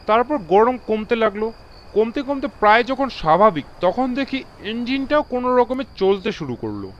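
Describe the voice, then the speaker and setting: Railway guard, acted by a man: alarmed, commanding, emphatic, very animated, dull-sounding horror radio play track. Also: very high-pitched, normal speed, a little hiss or hum, pitched above the performer's own voice. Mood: uneasy, amazed. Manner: emphatic, mixes English phrases into his speech. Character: alarmed, authoritative railway guard telling of strange events. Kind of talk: dramatised horror audio story.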